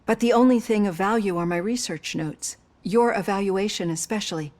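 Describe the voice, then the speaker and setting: An actress playing a psychologist in her fifties who asks gentle, probing questions. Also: medium pitch, normal speed, lightly acted. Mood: earnest. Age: 50s. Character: female psychologist in her fifties, caring and composed.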